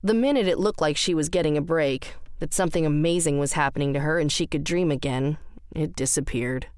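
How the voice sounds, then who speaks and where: A woman's voice: low voice, a little fast, a little mellow, dry recording, studio quality. A woman reading scripted fiction as the narrator of an audio drama.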